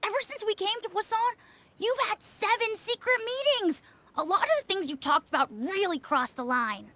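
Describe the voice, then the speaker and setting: Very high-pitched, earnest little kid. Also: female voice, moderate pace, pushed and bright. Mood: earnest, grave. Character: young child informant, earnest and dutifully loyal. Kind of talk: video game voice acting.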